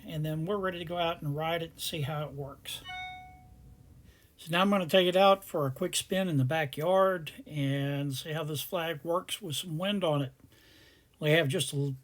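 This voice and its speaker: Man, slightly high voice, tutorial host, plain step-by-step how-to narration.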